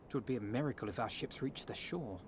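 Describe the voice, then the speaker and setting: A man's voice: mid-range voice, fast, a little hiss or hum, natural voice. A man playing a composed, scholarly strategist in a fantasy game, speaking precisely and formally with a wry edge. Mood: measured explaining.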